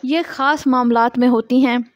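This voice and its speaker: A woman's voice: high voice, steady tone, moderate pace, lo-fi sound. A woman with a Pakistani accent giving a lecture, reading out passages and explaining them in a didactic way, often asking and answering her own questions. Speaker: law lecturer.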